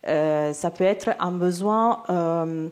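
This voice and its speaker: A woman's voice: lowish voice, slow pace, darkish tone. A woman in her thirties with an Italian accent, a native Italian speaker answering on a round-table panel, hesitant, with many fillers and restarts. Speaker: literary agent for illustrators.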